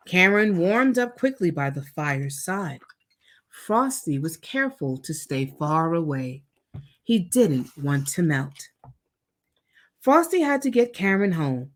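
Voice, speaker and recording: Animated woman reading a children's story. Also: deep voice. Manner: animated, acts out dialogue. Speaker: storytime reader. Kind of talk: expressive children's read-aloud storytelling.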